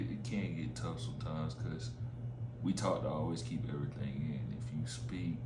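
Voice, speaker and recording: A man, a vlogger and small business owner, talking in a laid-back, reflective way and repeating filler phrases. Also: low-pitched. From Orlando, Florida. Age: 30s. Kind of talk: casual reflective monologue. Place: relaxed spot, drinking a beer. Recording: echoey room with background noise.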